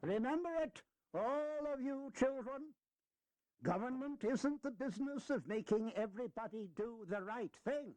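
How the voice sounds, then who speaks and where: A man's voice: extremely high pitch, expressive, measured pace, a little mellow, no echo. An actor playing a shrewd, teasing eighty-year-old statesman in a historical audio drama, lecturing in a folksy, wry way. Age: elderly.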